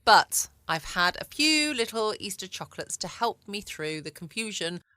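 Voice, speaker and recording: A woman's voice: medium pitch, sing-song, normal speed, bright, forceful tone, no room sound. A woman with a southern English accent, hosting a podcast from home in a casual, rambling, chatty way. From England. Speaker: podcast host. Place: at home, dry close recording.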